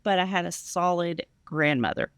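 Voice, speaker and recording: Earnest woman with a creaky lower voice. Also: animated, moderate pace, dry recording. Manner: earnest, emphatic. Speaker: interview guest. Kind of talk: casual personal testimony.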